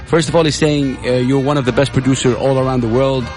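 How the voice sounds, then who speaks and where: A man hosting a radio interview, speaking haltingly with many restarts. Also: medium pitch, steady tone, over music. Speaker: radio host.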